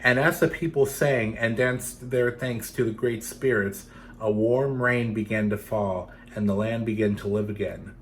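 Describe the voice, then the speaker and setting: A man storyteller reading expressively for children, with dramatic, deliberate phrasing that addresses young listeners. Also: lowish voice, fairly even intonation, a little hiss or hum. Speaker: storyteller for children's theatre series.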